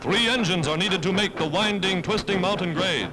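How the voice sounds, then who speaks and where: A man's voice: sits high, normal speed, noisy background. A man narrating a wartime newsreel in a declamatory, patriotic announcer style, on a vintage 1940s soundtrack. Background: loud music score.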